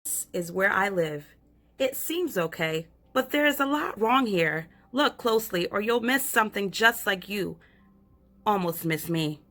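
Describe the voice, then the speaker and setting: A woman with a slightly worn voice reading aloud as a chatty young girl who has shrunk, in a lively storybook style. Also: mid-range voice, measured pace. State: losing her voice.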